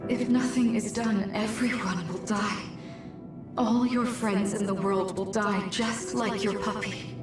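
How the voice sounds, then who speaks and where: An actress voicing a machine posing as a gentle mother in a video game, calm and manipulative, pleading in a solemn, measured way. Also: mid-range voice, close to an everyday voice. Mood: solemn, coaxing. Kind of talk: video game cutscene acting. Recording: very echoey, distant voice, music behind.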